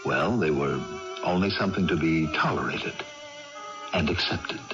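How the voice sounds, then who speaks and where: An actor playing a reflective gentleman recounting family history in a measured, formal, old-fashioned manner in a radio drama. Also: extremely low pitch. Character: grown nephew, a genteel, reflective storyteller of family history. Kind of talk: 1980s radio drama narration. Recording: muffled old radio broadcast, loud music.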